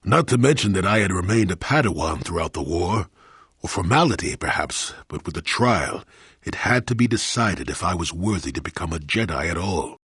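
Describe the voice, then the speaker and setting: A man playing a crotchety, wry old hermit Jedi in a video game, grumbling in a folksy, sardonic way with a gravelly, husky voice. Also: sits low, lively intonation, normal speed, fairly bright tone, dry recording, clean studio sound, exaggerated voice. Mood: reflective, wry. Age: older. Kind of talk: video game character acting.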